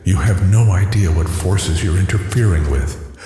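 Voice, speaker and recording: A man's voice: deep voice, moderate pace, mellow, close to an everyday voice. An actor playing an arrogant, frantic male villain with menacing threats in a cinematic audio drama. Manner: menacing, clipped retorts and threats. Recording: very echoey chamber with music behind.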